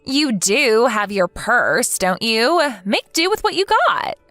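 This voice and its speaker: A woman's voice: fairly high pitch, sing-song, slow, pushed and bright, no echo, soft background music, heavily acted. A woman playing a smug, taunting young mistress in a dramatized story, sneering and condescending to her rival. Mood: mock sympathy. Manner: mocking, gloating, condescending.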